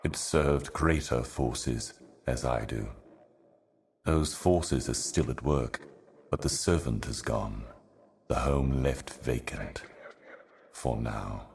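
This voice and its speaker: An actor playing an ominous, ancient otherworldly herald in a video game, speaking in solemn, cryptic, deliberate monologue. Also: male voice, very deep voice, vocal fry, full character voice. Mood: ominous, brooding. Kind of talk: scripted video game voice acting, slow monologue.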